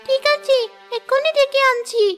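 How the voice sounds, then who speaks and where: A woman's voice: very high voice, fairly even intonation, normal speed, fairly bright tone, pitched above the performer's own voice. A woman voicing a dutiful, anxious young village daughter in a cartoon, childlike and earnest. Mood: obedient. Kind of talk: Bengali fairy-tale cartoon voice acting. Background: music.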